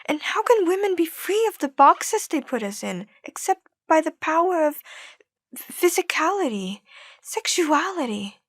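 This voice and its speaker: A woman's voice: very high voice, big pitch swings, measured pace, no echo, exaggerated voice. A young woman playing an outspoken, intellectual student in a stage play, earnest and provocative. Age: young adult.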